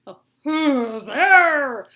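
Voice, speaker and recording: A woman's voice: high voice, animated, drawn-out words, sharp tone, voice pushed up, exaggerated voice. Big bad storybook wolf, a woman doing the voice. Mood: sly. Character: sly big bad male wolf posing as grandma.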